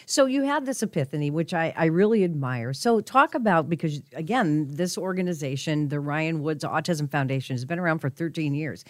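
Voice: mid-range voice, animated, fairly quick, hardly any pauses, dry recording, studio quality. An interview host asking questions in an encouraging, affirming way. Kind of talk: interview hosting.

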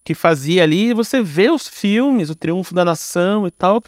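A Brazilian man in his forties with a fairly high voice, recorded close and dry. He talks as a podcast guest in an informal, slangy way, thinking aloud and explaining through examples. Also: expressive, moderate pace, no room sound. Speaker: podcast guest, storyteller and creator. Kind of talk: casual, reflective interview talk.

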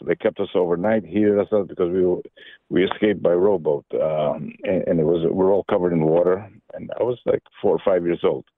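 Older male radio caller from Albania, deep voice, stumbling and repeating words. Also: steady tone, dry recording. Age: 60s. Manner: halting, many restarts and repeated words. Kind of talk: casual phone-in chat. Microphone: telephone. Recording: tinny phone line.

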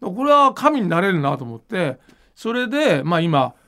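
Animated high-pitched man with a creaky voice. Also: moderate pace. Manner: animated, musing, informal. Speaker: podcast host and manga creator. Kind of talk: casual explanatory chat.

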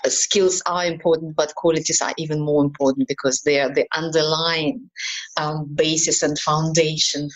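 Thoughtful lowish-voiced woman guest. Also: rough recording. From Ukraine and Kazakhstan. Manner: thoughtful, explanatory, many ums. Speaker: relationship mentor, guest. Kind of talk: interview answers.

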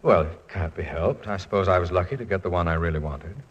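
A man's voice: deep voice, fast talker, breathy edge, lo-fi sound. A man playing a dignified, wryly humorous middle-aged American college president in 1940s radio comedy-drama style. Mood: resigned, calm. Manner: pedantic, wordy, dryly humorous.

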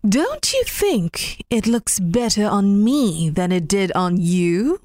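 A sly, spry lady cat , as a woman reads her speaks coyly in a lively, slow storybook voice. The recording is close and dry. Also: mid-range voice, no room sound.

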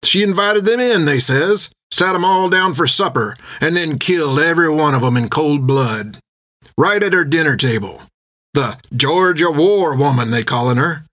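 Man acting a gruff, pitched-up boozy tavern drinker. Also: expressive, normal speed, fairly bright tone. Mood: gossipy, relishing.

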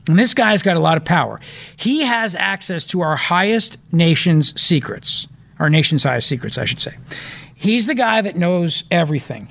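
A man's voice: fairly high pitch, vocal fry. A man hosting a talk radio show, giving opinionated commentary and repeating points for emphasis.

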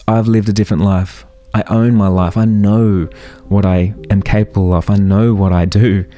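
A man's voice: fairly low pitch, dark tone. A man in his thirties hosting a solo motivational podcast, talking earnestly and firing off rhetorical questions. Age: 30s. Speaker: podcast host.

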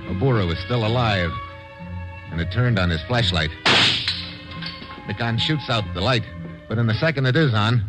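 An actor playing a tough, unflappable American adventurer in a 1950s radio drama, with a wisecracking, hardboiled delivery. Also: male voice, mid-range voice, natural voice, rough recording. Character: tough, wry, unflappable American adventurer hero narrating his exploits. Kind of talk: 1950s radio drama acting and first-person narration.

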